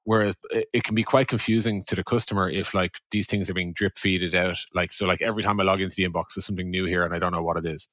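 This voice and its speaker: A man's voice: sits low, steady tone, quick pace, hardly any pauses, a bit bright, no room sound, studio quality. A man hosting a podcast in a conversational style, talking through scenarios and questions. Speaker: podcast host.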